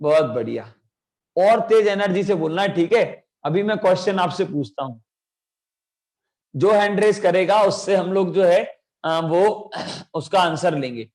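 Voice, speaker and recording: A man's voice: high voice, expressive, a little slow, a little mellow. A young man with a sore throat teaching an online children's class, coaxing the kids with lots of energy. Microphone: video call.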